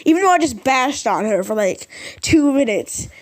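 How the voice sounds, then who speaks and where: A woman with a fairly high voice talks through a homemade vlog. Her delivery is informal and rambling, with an unsure, self-deprecating streak. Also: sing-song, normal speed. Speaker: vlogger ranking subway announcements. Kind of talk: casual rambling vlog commentary.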